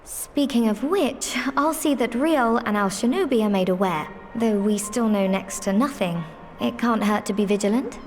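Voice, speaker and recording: A woman's voice: on the high side, moderate pace, a little mellow, soft background music, natural voice. An actress playing a perky, practical young female adventurer in a video game cutscene, talking in a friendly, informal, upbeat way.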